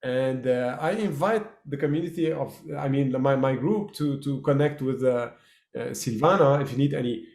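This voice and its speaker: A man from Lebanon hosting a casual interview, halting and full of fillers and restarts. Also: medium pitch, a little mellow, echoey room. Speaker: host. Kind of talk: casual video-call interview.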